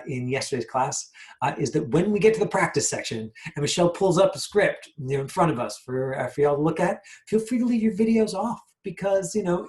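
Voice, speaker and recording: Guy hosting an online acting class, medium pitch, casual and rambly, kinda halting. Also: darkish tone. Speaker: host and acting teacher introducing a guest.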